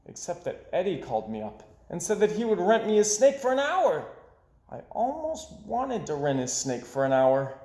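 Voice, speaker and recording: A man voicing a dramatic, hard-done-by young boy in an expressive storybook read-aloud, theatrical and emphatic. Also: sits high, moderate pace, roomy sound, voice pushed up, heavily acted. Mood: insistent. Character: young boy, dramatic, aggrieved, forever losing his money.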